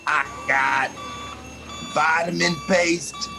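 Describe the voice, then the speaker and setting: An actor voicing a droning robotic food vendor who calls out stretched, halting sales pitches in a comic radio drama. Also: fairly even intonation, vocal fry, bright, forceful tone, soft background music, full character voice. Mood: hawking.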